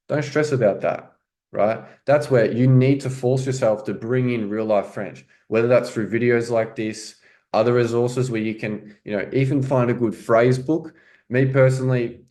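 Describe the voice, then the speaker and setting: Man coaching, fairly low voice. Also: a little mellow. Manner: explanatory, repeats "right?" and "okay?". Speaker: French coach. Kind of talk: casual teaching commentary.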